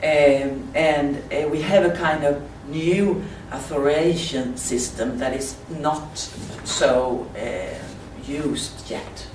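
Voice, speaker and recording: A Swedish woman with a Swedish accent, speaking halting non-native English in a slide presentation at a conference. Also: deep voice. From Sweden. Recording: roomy, distant mic with faint hiss.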